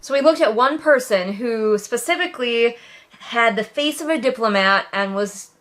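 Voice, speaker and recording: A woman giving casual, sarcastic read-along commentary as a book reviewer, with animated, mocking emphasis. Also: a little high, normal speed.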